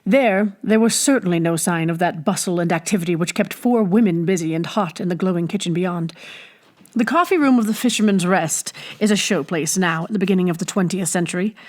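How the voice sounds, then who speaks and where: A woman reading aloud in an expressive, scripted storybook narration style. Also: lowish voice, brisk. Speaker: audiobook reader.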